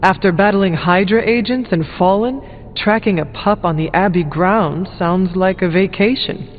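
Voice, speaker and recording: A woman's voice: mid-range voice, moderate pace, phone-quality sound, close to an everyday voice. An actress playing a resolute, formal and dryly confident female demon hunter in a video game. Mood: dry, skeptical. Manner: formal, determined diction. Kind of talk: video game character dialogue. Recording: thin band-limited game audio, background music.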